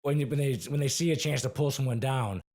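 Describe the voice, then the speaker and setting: Raspy-voiced male podcast host. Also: mid-range voice, fairly even intonation, fast, darkish tone. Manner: agreeable, chimes in with short affirmations. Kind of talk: casual interview chat.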